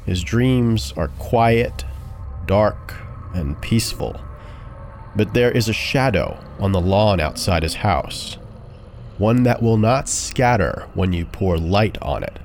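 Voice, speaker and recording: Slow, low-voiced man telling horror stories. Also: lively intonation, darkish tone, a little hiss or hum. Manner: measured, deliberate, dramatic. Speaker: podcast narrator. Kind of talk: dark scripted horror storytelling. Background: background music.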